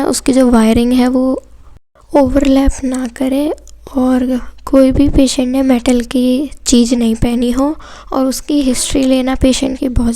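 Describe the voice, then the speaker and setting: A woman's voice: high voice, mellow. A woman with an Indian accent giving an explanatory lesson as a lecturer, going simply point by point and mixing in English technical terms. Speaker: physiotherapy lecturer.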